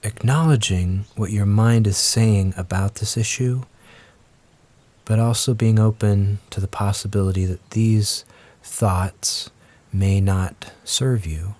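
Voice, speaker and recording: A man leads a guided meditation as the teacher, speaking gently and in a measured way with long pauses. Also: fairly low pitch, steady tone. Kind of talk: guided meditation, slow scripted instruction.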